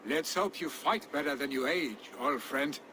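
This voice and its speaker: A teasing Norse warrior. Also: male voice, fairly high pitch, normal speed, pushed and bright. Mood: boastful. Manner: teasing, gruff banter. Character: boisterous Norse warrior, jarl's son. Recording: game audio with ambient noise.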